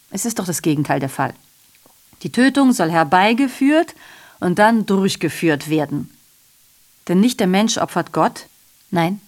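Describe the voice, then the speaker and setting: An actress playing a sardonic, aggrieved female messenger in a radio drama, delivering a digressive monologue full of rhetorical questions and self-corrections. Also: mid-range voice.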